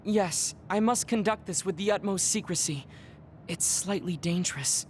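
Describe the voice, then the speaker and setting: A man voicing a reserved young male soldier who hides a secret, speaking formally and softly. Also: high voice, moderate pace, slight background noise, close to an everyday voice. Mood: worried, formal. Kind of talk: game cutscene dialogue. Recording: game audio with music behind.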